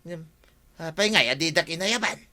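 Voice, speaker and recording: Confused ilocano village man, sharp bright voice, radio drama. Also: on the high side, normal speed. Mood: puzzled.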